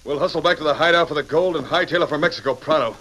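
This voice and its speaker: A man's voice: fairly high pitch, very fast, lightly acted. A man playing a ruthless, bossy outlaw gang leader in an old-time radio western, barking orders in cowboy slang. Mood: gruff, impatient. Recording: noisy vintage radio recording, loud hiss and clatter.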